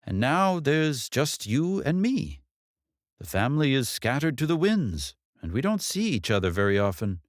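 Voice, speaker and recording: A man's voice: mid-range voice, big pitch swings, moderate pace, dry recording, clean studio sound, voice pushed up, natural voice. A man voicing an older mother in a story, blunt and proud, with emphatic rhetorical questions. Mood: wistful. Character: older mother, wise, proud, sharp-tongued, bitter about in-laws.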